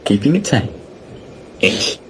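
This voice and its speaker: A man's voice: takes it slowly, noisy background. A man teasing his friends sarcastically in a casual podcast group chat. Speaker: podcast panelist.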